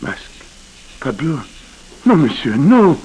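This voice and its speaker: An actor playing a fierce, proud Haitian governor with a French-Caribbean accent and broken, emphatic English, in a 1940s radio drama. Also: male voice, a little high, big pitch swings, slow, a little mellow, husky, full character voice. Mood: stunned. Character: fierce, proud Haitian governor, a rough-spoken military man. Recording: noisy, crackly 1940s radio broadcast.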